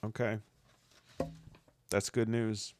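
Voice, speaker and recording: A man with a head cold, sniffling now and then, who reviews tabletop game rules in a casual, earnest way, thinking aloud with halting restarts. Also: lowish voice, little pitch movement, a little hiss or hum. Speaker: solo tabletop game player and podcaster.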